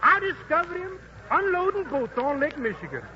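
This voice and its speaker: A brash boxing manager. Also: male voice, very high voice, big pitch swings, normal speed, bright, forceful tone, slight background noise, heavily acted. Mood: boastful. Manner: boastful, showy. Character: brash fast-talking male boxing manager. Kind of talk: 1940s radio drama acting. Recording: dull 1940s radio broadcast with music.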